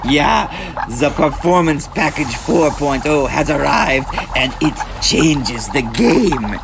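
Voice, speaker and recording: An actor playing a pompous, earnest pitchman who speaks in formal, grandiose, slightly awkward phrases in a comic ad. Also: takes it slowly, gravelly, background noise. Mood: eager, proud. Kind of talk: comic scripted ad read in character.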